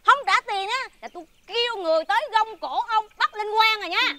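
A woman's voice: very high-pitched, brisk. A woman playing a greedy, bossy eatery owner in a folk-tale drama, exclaiming and repeating herself theatrically. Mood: scolding, indignant. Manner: scolding, repetitive, exclaiming. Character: greedy, bossy female eatery owner, shrill and fawning to rich customers. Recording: very noisy location sound.

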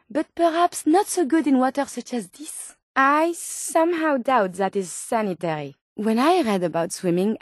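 A female robot scientist from a video game with a French accent. Her voice sits fairly high and sounds close and dry. She speaks formally and precisely, earnest and politely curious. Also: dry recording. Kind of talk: video game companion voice lines.

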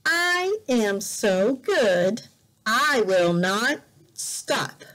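Very slow, animated woman reading to children. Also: on the high side, rough recording. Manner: deliberate, exaggerated, repeating words and counting for learners. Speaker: volunteer English teacher reading aloud. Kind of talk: slow read-aloud picture-book lesson for children. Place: indoors in a room by a bright window.

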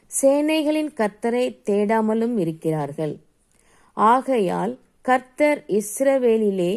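A woman reading Bible verses aloud solemnly, phrase by phrase, in a measured and deliberate manner. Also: medium pitch, a little mellow, clean tone. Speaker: scripture reader.

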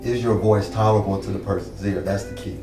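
A man's voice: on the low side, steady tone, fast talker. A man chatting in a small bar, asking questions and repeating affirmations. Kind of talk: casual chat. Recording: distant, echoey bar with faint music.

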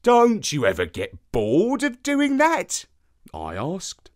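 A man voicing a good-natured space dog who narrates a children's bedtime story in a wry, expressive way. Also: high-pitched, slow pace, full character voice. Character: good-natured male space-dog telling his own tale.

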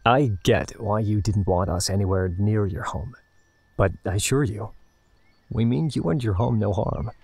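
A man playing a calm, matter-of-fact, reassuring male explorer in an audio drama. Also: on the low side, normal speed, vocal fry, husky, dry recording. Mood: earnest. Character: Nikan, calm practical male explorer, reassuring to his captive.

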